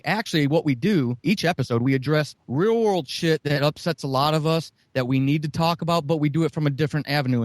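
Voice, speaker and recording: Middle-aged man, podcast guest, rambling and profane, slightly high voice, dead-dry sound. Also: no room sound. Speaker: podcast guest, audio drama writer. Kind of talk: casual podcast interview.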